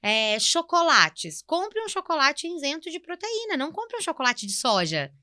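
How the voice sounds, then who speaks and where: A woman's voice: high voice, huge pitch swings, normal speed, bright, forceful tone, dry recording, studio quality. A woman with a Brazilian accent giving didactic, conversational explanations as a podcast guest, often acting out short example remarks. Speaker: guest nutritionist on a health podcast.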